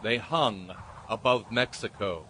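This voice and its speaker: A man's voice: on the low side, slow, noisy background. A man narrating a dramatized audiobook story in a dramatic, scripted storytelling style. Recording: dramatic music under the voice.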